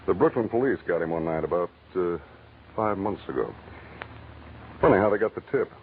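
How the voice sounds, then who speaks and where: An actor in a 1940s radio drama playing a low-key, world-weary but kindly private investigator, laconic and casual. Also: male voice, expressive, fairly quick. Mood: matter-of-fact, resigned. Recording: muffled, noisy old radio broadcast recording.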